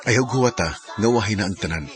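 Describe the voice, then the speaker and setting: A man's voice: on the low side, expressive, fairly quick, over music, natural voice. A man acting a young man secretly in love with his best friend, earnest and sentimental, in a Filipino radio drama with a Cebuano accent. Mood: regretful.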